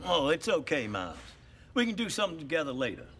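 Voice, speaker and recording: A man's voice: fairly high pitch, huge pitch swings, quick pace, vocal fry, fairly bright tone, husky, heavily acted. An older actor playing a kind, encouraging grandfather. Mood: kindly.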